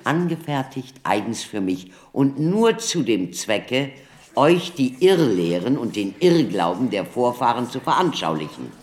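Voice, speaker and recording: Slow, theatrical lecturing doctor. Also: male voice, mid-range voice, very animated, darkish tone. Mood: instructive. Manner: declamatory, deliberate showman addressing an audience. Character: theatrical male doctor lecturing as a showman-demonstrator. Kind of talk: German radio play acting.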